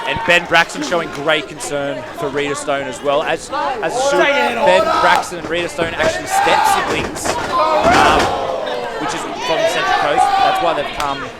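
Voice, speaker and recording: A man's voice: on the high side, very animated, moderate pace. A man doing color commentary on live wrestling, with emphatic, hyped-up analysis. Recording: loud crowd and noise behind the commentary. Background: loud cheering crowd.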